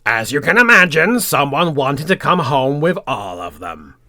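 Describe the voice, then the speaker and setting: Guy as a friendly croc, playful n fond, very animated, forceful bright voice, audio drama. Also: fairly high pitch, a little slow, full character voice. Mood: teasing.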